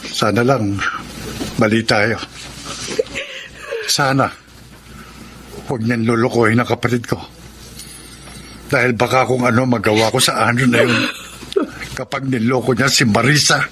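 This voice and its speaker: A man's voice: medium pitch, steady tone, a little slow, pauses often, natural voice. A man playing a grave, protective older brother in a Filipino radio drama. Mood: worried, stern. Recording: clear noise behind the voice.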